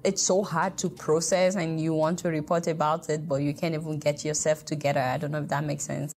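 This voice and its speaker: A woman journalist speaks with earnest emphasis, her voice sitting a little low. Soft music runs quietly underneath. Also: little pitch movement, fairly quick. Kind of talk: interview and speech.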